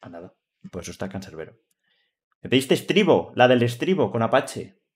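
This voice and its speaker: A man's voice: medium pitch, sing-song, a little fast. A man in his twenties with a Spanish accent from Spain, giving casual, slangy, exclamatory reaction commentary. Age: 20s. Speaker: reaction video host. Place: small indoor room, seated at a desk. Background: hip hop music playing.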